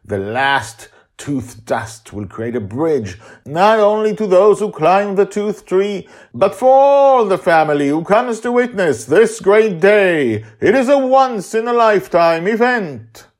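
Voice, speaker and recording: Storybook father, played by a man, solemn and ceremonial, pitch lifted high above his normal talk, grand drawn-out phrases. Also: sing-song, exaggerated voice. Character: Justin, father leading children in a solemn magical ritual.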